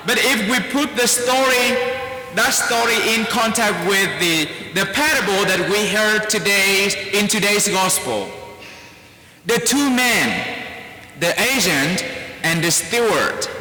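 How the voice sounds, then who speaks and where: A priest from Vietnam with a Vietnamese accent, giving a slow, deliberate homily in a large church and spelling out words. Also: male voice, sits high, pushed and bright. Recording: echoey church hall.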